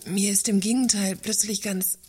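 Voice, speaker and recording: A woman's voice: mid-range voice, steady tone, normal speed, warm tone, husky, lightly acted. An actress playing a combative, sardonic female works councillor, indignant and dryly sarcastic, in in a satirical radio play. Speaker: actress in a satirical audio drama. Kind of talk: German radio play dialogue.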